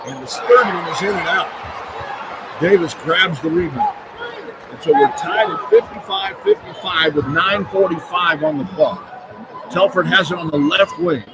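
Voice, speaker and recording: Male sports play-by-play announcer, high and lively delivery, basketball arena with a roaring crowd. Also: normal speed, heavy background noise. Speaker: radio play-by-play commentator. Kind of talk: live basketball commentary. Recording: loud crowd and music behind commentary. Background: loud arena crowd and music.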